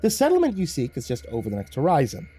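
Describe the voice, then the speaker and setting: High, taunting devil cat. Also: male voice, wildly swooping pitch, fast talker, warm tone, husky, heavily acted. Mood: gleeful, taunting. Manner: taunting, theatrical, profane. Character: mocking, sadistic devil in the shape of a cat.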